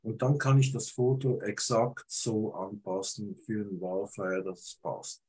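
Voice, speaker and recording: A man's voice: fairly low pitch, steady tone, a little slow, darkish tone, husky, low-quality recording. A man from Switzerland with a cold, bluntly critiquing flyer photos on a video call, hesitating with fillers. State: has a cold. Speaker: photographer giving feedback. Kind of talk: casual video call discussion.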